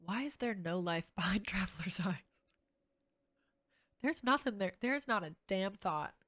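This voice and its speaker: A woman's voice: mid-range voice, lively intonation, unhurried, fairly bright tone. A young woman giving casual, irreverent playthrough commentary as a game streamer, full of slang and swearing.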